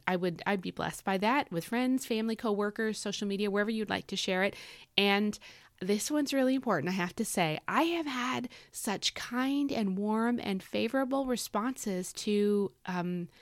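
A woman's voice: mid-range voice, vocal fry, dry recording, clean studio sound. A woman hosting a devotional podcast, talking in a casual, earnest way with frequent fillers; her voice is scratchy and tired from overuse. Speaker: devotional podcast host and author.

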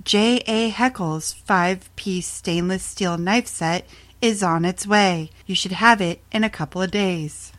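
A woman acts out a female voice assistant from a horror audio story. She speaks slowly and evenly in a polite, emotionless, machine-like manner, measuring out each phrase. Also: medium pitch, fairly bright tone, lightly acted. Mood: flatly polite. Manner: measured, emotionless, robotic delivery.

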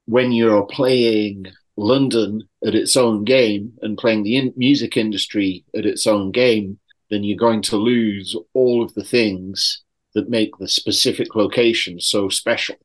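A man's voice: fairly low pitch, fairly even intonation, takes it slowly, low-quality recording. A man in a casual podcast discussion, talking tentatively and often saying "like" and "you know". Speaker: podcast panelist. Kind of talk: casual discussion of song lyrics.

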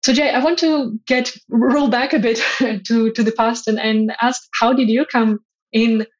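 A woman's voice: a little high, fairly even intonation, measured pace. A woman hosting a conversational interview, explaining things in an earnest way as a leadership coach would. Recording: slightly dull, lo-fi audio.

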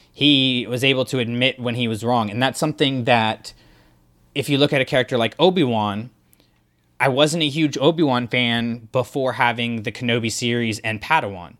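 A man co-hosting a relaxed discussion podcast. He thinks out loud, wandering through his points with plenty of fillers and restarts. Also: mid-range voice. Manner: thoughtful, rambling with fillers and restarts. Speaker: podcast co-host.

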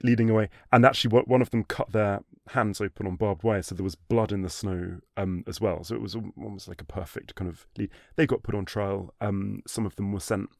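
A man telling a history story in a conversational way, animated, with frequent restarts and fillers like "sort of" and "um". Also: fairly low pitch, gravelly, no room sound, studio quality. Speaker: history guest.

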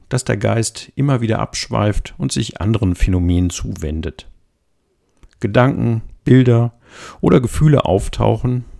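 Guy guiding a body scan, soft slow delivery, warm mellow tone. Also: mid-range voice, gravelly. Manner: slow, gentle, soothing. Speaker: meditation guide. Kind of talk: guided meditation introduction.

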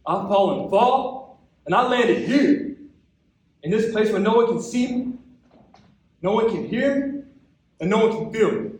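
A young man with an American accent performing a theatrical stage monologue as an embittered, wounded young man, impassioned and colloquial, with dramatic pauses. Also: sits high, lively intonation, a little mellow. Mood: anguished, defiant. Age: young adult. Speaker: actor in a monologue competition. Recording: echoey hall, recorded from far off.

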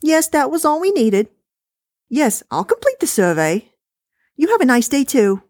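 A woman voicing a fussy, chatty older wife talking on the phone, high-pitched and bossy. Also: sing-song, a little slow, darkish tone, no room sound, clean studio sound. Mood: chirpy, bossy.

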